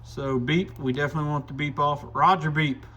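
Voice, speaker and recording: A man's voice: on the high side, fairly even intonation, fairly quick, sharp tone, breathy edge, muffled recording. A man hosting a casual hands-on product demo, thinking aloud informally as he tinkers. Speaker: radio shop reviewer and video host.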